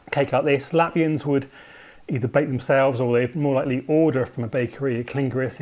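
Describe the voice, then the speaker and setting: Male home baker, mid pitch, chatty and meandering, casual to-camera recipe talk. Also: darkish tone. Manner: rambling, thinks aloud with frequent ums.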